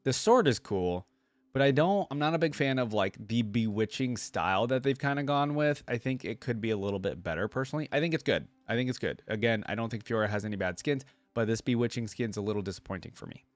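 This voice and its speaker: Man, mid-pitched voice, casual reaction host, informal, thinking aloud, filler-heavy, very dry sound. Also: expressive, fast talker, no room sound, soft background music.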